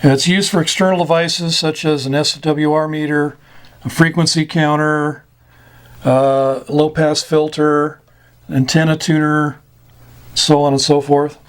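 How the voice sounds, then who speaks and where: A man with an American accent and a slightly higher-than-average voice walks through technical terms like an informal glossary lesson. He is plain-spoken and halting, breaking his explanations into short phrases with frequent pauses. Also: flat delivery, slight background noise. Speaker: instructor explaining CB radio terms.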